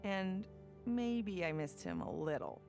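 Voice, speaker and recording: A battle-hardened American commander in a video game. Her voice is low and slow, dry and resolute. Music swells behind her. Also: huge pitch swings, creaky, a little mellow, heavily acted. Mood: fond, wry. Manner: dry, resolute, plain-spoken. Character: tough middle-aged female soldier, wry protective commander. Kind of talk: scripted video game ending monologue. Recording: music under the voice.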